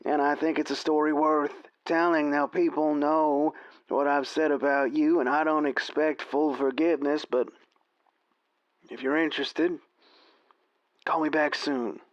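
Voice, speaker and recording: Older male radio-play role, acted by a man: folksy, persuasive rodeo announcer, slightly high voice, dull close sound. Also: flat delivery, a little slow, dry recording, close to an everyday voice. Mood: earnest.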